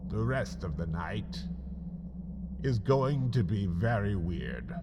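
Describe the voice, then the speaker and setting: A man hosting and narrating a scripted comedic horror podcast, with a theatrical, mock-ominous delivery and dramatic pauses. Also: fairly low pitch, lively intonation, creaky, very noisy.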